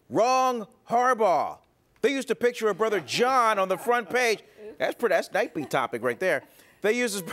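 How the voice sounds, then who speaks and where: A man's voice: sits high, fairly bright tone. A man anchoring a television sportscast with a punchy, playful delivery. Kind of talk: lively sportscast, scripted narration. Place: TV news studio.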